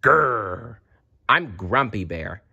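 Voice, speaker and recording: A man voicing a gruff but kindly grumpy teddy bear from a children's storybook, earnest in manner. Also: fairly low pitch, animated, drawn-out words, sharp tone, muffled recording, heavily acted.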